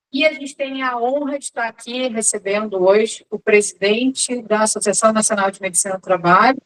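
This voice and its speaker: A woman opens a professional panel as its host and introduces each guest by title. Her voice sits a little high, and her manner is polite and formal. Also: a bit bright, low-quality recording. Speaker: host, moderator.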